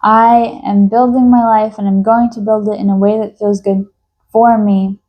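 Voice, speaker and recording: A young woman from New York talks in a slow, reflective personal monologue, thoughtful and hesitant, with many fillers and restarts. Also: medium pitch, little pitch movement, lo-fi sound. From United States. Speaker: vlogger.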